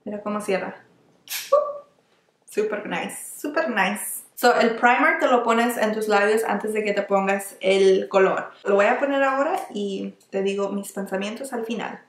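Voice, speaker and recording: Young woman, beauty vlogger, casual product review, mid pitch, roomy echo. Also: unhurried. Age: young adult. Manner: chatty, often restarts words and slips into English terms. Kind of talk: casual product review while applying makeup. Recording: echoey small room.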